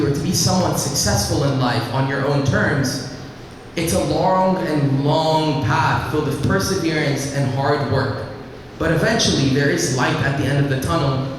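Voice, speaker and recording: A teenage boy from Iraq, raised in Lebanon, giving an earnest personal speech to an audience and telling his story at a measured pace. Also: on the high side, slight background noise. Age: teens. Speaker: student speaker. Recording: echoey hall, mic at a distance.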